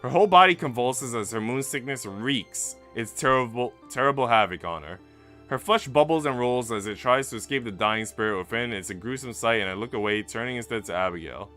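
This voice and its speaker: A man's voice: medium pitch, animated, a little fast, bright, forceful tone, faint music behind. A man streaming, reading a visual novel aloud and chatting casually with his audience. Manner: informal, slangy when chatting.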